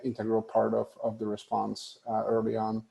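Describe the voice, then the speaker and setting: A man with a Hungarian accent speaking as a guest on a video-call panel, halting with many fillers and restarts. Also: on the low side, monotone, creaky, warm tone, low-quality recording. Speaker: panel guest, tech executive. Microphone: video call webcam.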